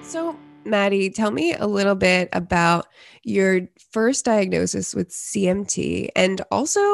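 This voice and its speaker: A woman's voice: medium pitch, creaky, no echo, clean studio sound. A woman hosting a podcast in a casual, chatty, self-deprecating way, her voice stuffy and congested. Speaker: podcast host. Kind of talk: casual chat.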